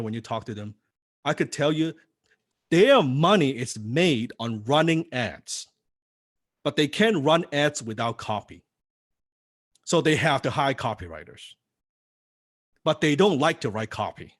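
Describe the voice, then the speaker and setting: A man's voice: medium pitch, expressive, measured pace, long pauses, no room sound. Punchy male seminar speaker, dry sound. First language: not English. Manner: punchy, with rhetorical questions to the audience. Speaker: seminar speaker teaching copywriting. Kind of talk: live seminar teaching. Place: seminar room before a live audience.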